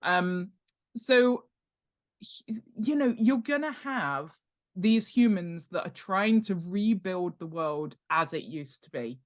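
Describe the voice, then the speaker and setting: A woman's voice: fairly low pitch, slow pace. Woman explaining things informally and emphatically. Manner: animated, emphatic, checks in with "right?" and "okay?". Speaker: guest author and world-building expert. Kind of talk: informal explanatory interview talk.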